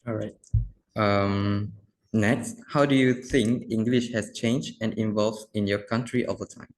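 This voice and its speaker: A man's voice: on the low side, steady tone, a little slow, poor audio. A man interviewing a guest, giving brief acknowledgements and then reading out his questions.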